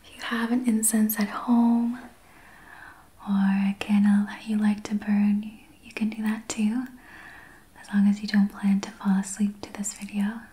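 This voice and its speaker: A woman speaking in a breathy whisper, gently and soothingly guiding a healing session in ASMR style. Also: medium pitch, level tone. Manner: slow, gentle, soothing guidance. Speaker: ASMR host, Reiki practitioner.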